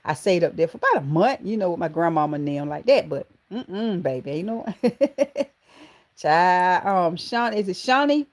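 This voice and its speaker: A woman's voice: on the low side, unhurried. Southern woman hosting a chatty livestream. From Georgia, US. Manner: affectionate, calls viewers baby and honey, animated exclamations. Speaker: livestream host. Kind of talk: casual live chat greeting viewers.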